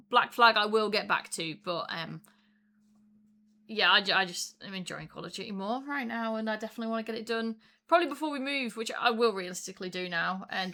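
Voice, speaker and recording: A woman co-hosting a casual podcast, chatty and quick to laugh, with lots of fillers. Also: mid-range voice, pushed and bright. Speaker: podcast co-host. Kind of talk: casual chat.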